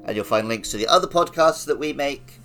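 A man with a medium-pitched voice co-hosts a video game podcast. He talks in a casual, conversational way, with music faintly audible underneath. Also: expressive, brisk, bright, forceful tone. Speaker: podcast co-host. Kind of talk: casual gaming podcast chat.

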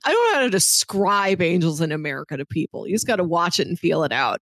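A woman's voice: lowish voice, very animated, quick pace, fairly bright tone, no echo. A woman co-hosting a casual review podcast, talking analytically and articulately and expanding on her thoughts at length. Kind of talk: casual film and TV review chat.

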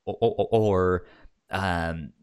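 Male podcast co-host, slow delivery, slightly low register, marked stammer, casual film chat. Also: fairly even intonation, a little mellow, clear, resonant tone. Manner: stammering, repeats sounds and words.

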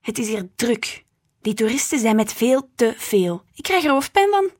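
A woman's voice: sing-song, moderate pace. Woman putting on a fussy grumbling grandma. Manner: grumbling, shrill and indignant. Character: fussy complaining old grandmother. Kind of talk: audiobook reading.

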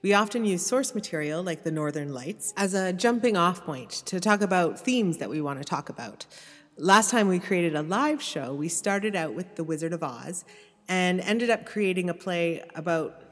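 A woman's voice: on the low side, lively intonation, normal speed, long reverb tail, faint music behind. A woman hosting a podcast, explaining in an easy, conversational narration. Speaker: podcast host.

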